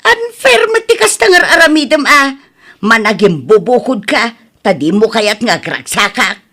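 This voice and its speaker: A woman acting a husky, animated Ilocano mother. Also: on the high side, moderate pace, full character voice. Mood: indignant, insistent. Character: headstrong widowed mother insisting on marrying a younger man. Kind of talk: Ilocano comedy radio-style drama acting.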